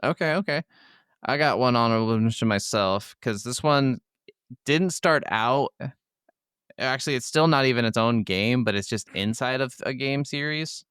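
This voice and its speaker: A man's voice: medium pitch, normal speed, fairly bright tone, clean tone, no room sound, clean studio sound. A man co-hosting a gaming podcast, chatting casually and enthusiastically with plenty of informal swearing. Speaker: podcast co-host.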